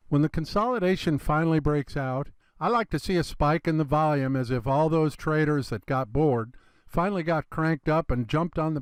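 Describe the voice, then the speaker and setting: A man with a slightly congested, gravelly voice, explaining a trading chart in a relaxed lesson and calling his viewers "you guys". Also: a little high, no echo. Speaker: trading educator. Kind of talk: screen-recorded trading lesson.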